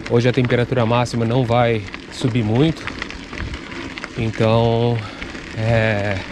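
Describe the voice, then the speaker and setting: A man's voice: mid-range voice, little pitch movement, a little slow, background noise. A man with a stuffy, congested voice chatting casually as he cycles country roads, picked up by a camera mounted on his handlebars. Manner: chatty, loose and rambling, with restarts. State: congested from a cold. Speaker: cycling vlogger. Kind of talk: casual running commentary while cycling. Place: outdoors on a bicycle on country roads. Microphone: action camera on the handlebars.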